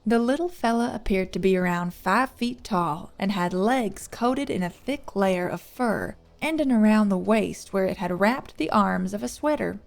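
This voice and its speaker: A woman's voice: mid-range voice, normal speed, vocal fry, hardly any pauses. A woman narrating a scripted fantasy story as an audiobook reader.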